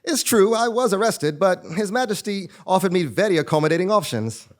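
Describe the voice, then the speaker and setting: A man's voice: sits high, animated, a little fast. An actor playing a polite, upbeat working man in a stage comedy, proper and matter-of-fact. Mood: cheerfully oblivious. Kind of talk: live absurdist comedy stage acting.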